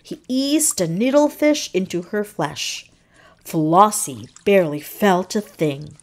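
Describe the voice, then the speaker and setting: Very slow, animated woman storyteller. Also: fairly low pitch. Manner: dramatic, deliberate phrasing. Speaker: storybook narrator. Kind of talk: expressive children's read-aloud narration.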